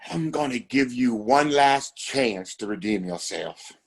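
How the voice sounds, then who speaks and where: A man's voice: medium pitch, animated, a little slow, husky. An actor playing a domineering, contemptuous father and town boss in a Western audio play, speaking in a formal, commanding way. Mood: stern bargaining. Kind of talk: Western audio drama acting.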